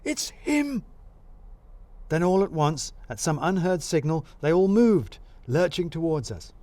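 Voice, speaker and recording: A man narrating a scripted comic mystery in the first person, wry and expressive. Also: fairly high pitch, moderate pace, no room sound. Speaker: narrator of a serial mystery story.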